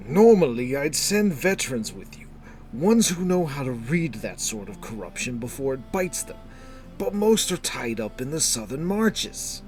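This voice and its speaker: A man's voice: medium pitch, sing-song, unhurried, a little mellow, faint music behind. A man as a stern, grim fantasy guildmaster. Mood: regretful.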